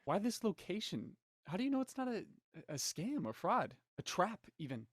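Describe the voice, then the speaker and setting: A man's voice: sits high, very animated, moderate pace, no room sound. Anxious skeptical guy, hesitant stammer, audio drama, dry close sound. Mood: agitated. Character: John, anxious skeptical man pleading and warning of traps.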